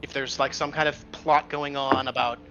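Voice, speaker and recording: A man's voice: mid-range voice, level tone, moderate pace, bright, forceful tone, faint music behind. A man playing a tabletop game, chatting casually and reasoning aloud, on a slightly dull microphone. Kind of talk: casual chat while gaming.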